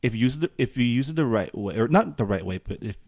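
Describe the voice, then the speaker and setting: A man with an American accent chatting casually on a podcast in a halting, filler-heavy way. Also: medium pitch, animated, darkish tone, no room sound, clean studio sound. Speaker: podcast speaker.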